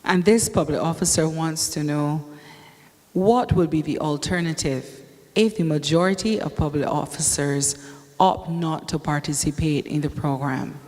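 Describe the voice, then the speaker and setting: A man's voice: a little high, darkish tone. Man reading out a viewer's question. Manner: reading aloud, measured. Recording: distant voice in a reverberant hall.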